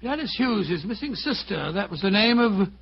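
An actor playing an earnest, blustering companion to a detective in an old-time radio drama. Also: male voice, big pitch swings, moderate pace, heavily acted. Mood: earnest, concerned. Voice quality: husky, high for a man. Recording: dull old radio recording.

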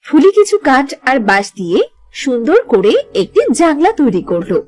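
A woman's voice: high voice, sing-song, normal speed, darkish tone, no room sound, clean studio sound. A woman reading scripted narration for a cartoon story.

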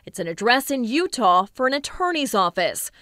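A woman in her thirties reads an investigative news script with a measured, clear delivery. Her voice sits a little high and sounds bright and crisp. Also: big pitch swings, no echo. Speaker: investigative reporter.

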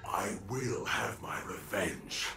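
Echoing, vengeful undead ninja. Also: male voice, lowish voice, slow pace, gravelly. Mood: grim resolve. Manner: menacing, declamatory. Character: vengeful undead male ninja warrior, fierce and proud. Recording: echoing voice over loud music.

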